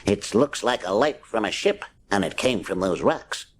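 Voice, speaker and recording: A man's voice: fairly low pitch, expressive, moderate pace, a little hiss or hum, lightly acted. A man voicing a scrappy, plucky cartoon sailor in a storybook reading, muttering in ungrammatical salty talk. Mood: wry, curious.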